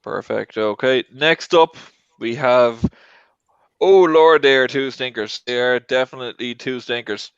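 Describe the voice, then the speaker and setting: A man's voice: medium pitch, measured pace, smooth, no echo. A man hosting a casual panel discussion, introducing items and chatting with guests. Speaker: host of movie debate show. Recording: dry, dull lo-fi audio.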